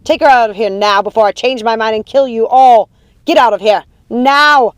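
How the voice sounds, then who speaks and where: A woman's voice: on the low side, lively intonation, moderate pace, a little mellow, dry recording, studio quality, lightly acted. Imperious witch mother, played by a female narrator: scornful, possessive, commanding, storybook villainess. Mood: furious, commanding. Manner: scornful, commanding, theatrical delivery. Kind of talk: audiobook character acting.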